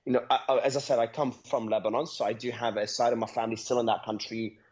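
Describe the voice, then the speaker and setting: A man from Australia, an entrepreneur guest, talking fast, repeating words and laughing between phrases. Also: medium pitch, level tone, a little mellow, dull audio. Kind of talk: conversational interview.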